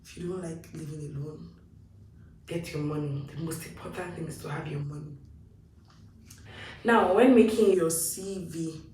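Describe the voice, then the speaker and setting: A young woman with a Nigerian accent talks casually and animatedly, her voice sitting a little back from the mic. The room gives her speech a noticeable echo, with a faint hum of ambience underneath. She sounds like she is chatting with a friend. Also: sits low. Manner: chatty and animated, acts out her own past thoughts. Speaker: vlogger. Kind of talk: casual advice talk to camera. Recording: roomy echo, mic a bit distant.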